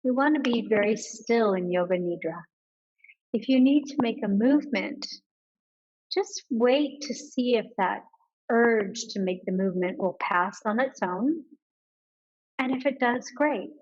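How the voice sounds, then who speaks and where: A woman leading a guided yoga nidra meditation in a calm, measured manner with pauses between instructions. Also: fairly high pitch, highs cut off.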